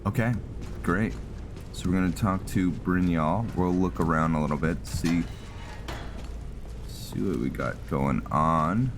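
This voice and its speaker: A man's voice: deep voice, measured pace, long pauses, music in the background. A man giving casual video game playthrough commentary, musing and thinking aloud as he roleplays. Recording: clear game noise behind voice.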